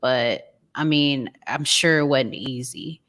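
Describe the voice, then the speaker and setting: A woman's voice: a bass voice, slow, a bit bright. A woman speaking casually and hesitantly as a panelist on a music podcast.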